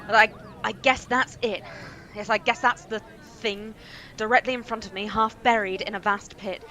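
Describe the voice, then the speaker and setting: An actress playing a female radio reporter in a radio play, briskly announcing and getting flustered at a strange scene. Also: medium pitch, steady tone, pushed and bright, lightly acted. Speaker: radio commentator. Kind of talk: amateur radio play acting.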